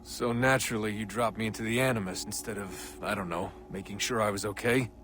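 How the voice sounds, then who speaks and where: A man's voice: on the low side, background noise, close to an everyday voice. An actor voicing a weary, sardonic young man, a resentful son, in dry naturalistic video game dialogue. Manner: dry, sarcastic, sighing, slow.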